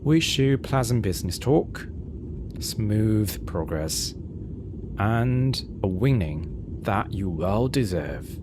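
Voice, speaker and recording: A calm night-flight airline captain acted by a man speaks very low and slowly in a soft, breathy near-whisper. The ASMR delivery is gentle and reassuring, with measured pauses, over a steady rumble of aircraft engines. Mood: warm, gentle. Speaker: ASMR roleplay performer. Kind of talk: soothing ASMR roleplay monologue. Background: low engine rumble ambience.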